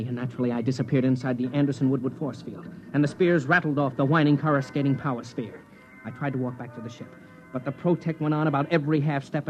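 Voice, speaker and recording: An actor playing a nervous, exasperated space explorer in a 1950s radio drama, with wry narration and dialogue. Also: male voice, medium pitch, natural voice. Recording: dull vintage radio recording.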